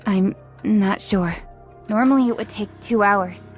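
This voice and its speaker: A woman's voice: mid-range voice, soft background music, lightly acted. Composed young squad leader played by a woman. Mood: firm, focused. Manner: measured, authoritative. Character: composed female squad leader, young adult, focused and protective. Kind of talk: dubbed game cutscene voice acting.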